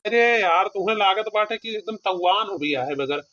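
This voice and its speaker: Muffled recording, man acting grumbling cartoon village priest, Awadhi accent, high sharp voice. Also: lively intonation, moderate pace. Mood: grumbling, casual. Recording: dull lo-fi cartoon voice track.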